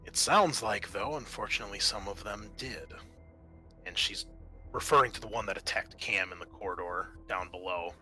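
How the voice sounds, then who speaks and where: Bright-voiced male game master. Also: on the low side, faint music behind. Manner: halting, thinking aloud as he narrates. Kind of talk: improvised tabletop game narration.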